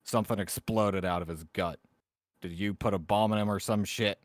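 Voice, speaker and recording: A man's voice: fairly low pitch, steady tone, normal speed, no room sound, studio quality. A man playing a tabletop roleplaying game, talking casually in and out of character.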